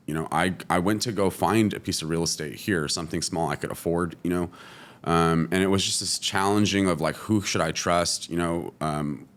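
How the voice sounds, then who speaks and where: Guest, man from California; very deep voice, easygoing, loose and filler-heavy delivery. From California, USA. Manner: relaxed, lots of "you know" fillers. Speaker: guest, entrepreneur. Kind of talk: casual interview.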